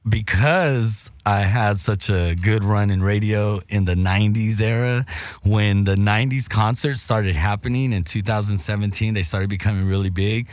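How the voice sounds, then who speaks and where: Low, slightly raspy middle-aged man. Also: normal speed, no echo. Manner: laid-back, rambling with many restarts and slang. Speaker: guest, former radio host. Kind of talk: casual chat.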